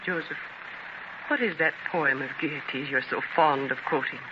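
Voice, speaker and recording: An actress playing a shrewd, affectionate, teasing wife who gently chides her husband in a 1930s radio drama. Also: deep voice, a little slow. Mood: tender, resolute. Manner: expressive, gently chiding. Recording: noisy, dull 1930s radio transcription.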